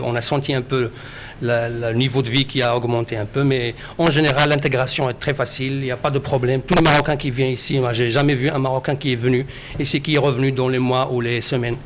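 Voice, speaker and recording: A man from Morocco answering interview questions, hesitant with many pauses and fillers. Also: mid-range voice, slight background noise.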